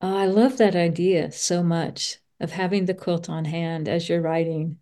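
A woman's voice: lowish voice, fairly even intonation, unhurried, mellow. A woman hosting a casual podcast interview, effusive and rambling, rephrasing her thoughts as she goes.

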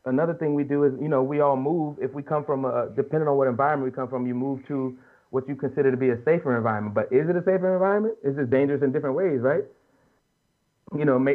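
Fast-talking man in his late thirties, muffled audio. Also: mid-range voice. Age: late 30s. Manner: earnest, emphatic, colloquial, repeats and rephrases as he argues. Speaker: podcast panelist. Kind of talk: casual opinionated panel talk.

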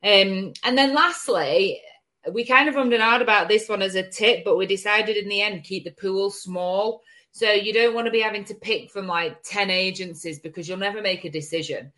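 A woman's voice: mid-range voice, moderate pace, pushed and bright. A woman hosting a marketing show as a casual chat, talking in an informal, wry way. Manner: informal, wry, with mild swearing. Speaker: host of a marketing agency live show.

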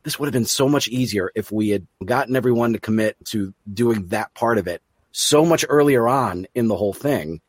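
A man's voice: on the low side, moderate pace, breathy edge, dry recording. A man hosting an interview in casual conversation, chatty and rambling, with a self-deprecating streak. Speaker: interview host and audio drama producer.

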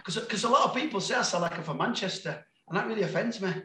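A man's voice: high voice, expressive, rapid-fire, pushed and bright, roomy sound. A man with a Sheffield accent, a guest on a podcast, chatting casually and self-deprecatingly, with lots of laughter and restarts. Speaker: guest, music label founder.

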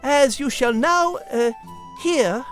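A woman's voice: high-pitched, extremely slow, faint music behind. A woman voicing a refined, learned female cat with a French accent and a prim, precise manner in a children's audio drama. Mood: prim, patient. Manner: prim, precise, patient, schoolteacherly.

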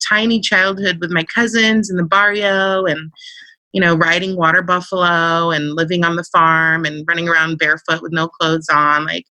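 Podcast guest lady, kinda low voice, super bright tone, chatty rambly stories. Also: level tone, normal speed, no room sound, poor audio. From Central Virginia, USA. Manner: chatty storytelling, lots of "like" and "you know". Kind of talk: casual interview chat.

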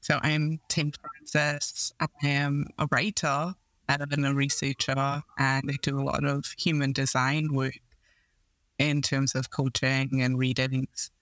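A woman, a podcast guest who writes and coaches, sharing her story warmly. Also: rumbling low, flat delivery, measured pace, dry recording. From Trinidad and Tobago. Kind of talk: casual personal storytelling.

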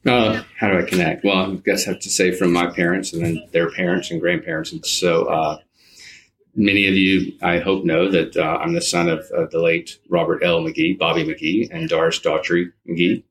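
A man in his fifties answering as an interview guest in a conversational, halting and reflective way. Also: low-pitched, flat delivery, rough recording. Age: 50s.

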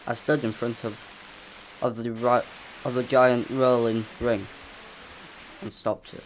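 A man's voice: mid-range voice, level tone, slow pace, slight background noise, rough recording. A man reading a spooky first-person story in a plain, earnest way.

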